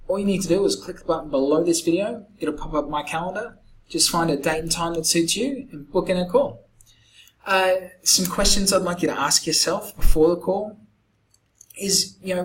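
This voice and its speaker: A middle-aged man, slightly croaky, casually walking a client through a screen-recorded sales pitch with lots of fillers. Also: slight background noise, rough recording. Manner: conversational, many fillers and restarts. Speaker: SEO agency founder pitching a client.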